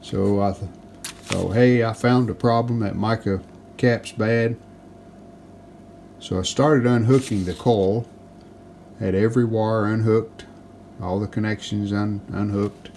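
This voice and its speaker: A man with a fairly low voice talks through repair work as he does it. He is plain-spoken and matter-of-fact, explaining each step casually. There is clear noise behind his voice. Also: fairly even intonation, takes it slowly, long pauses, a little mellow. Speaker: restoration video host. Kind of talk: casual hands-on repair narration. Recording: clearly noticeable background noise.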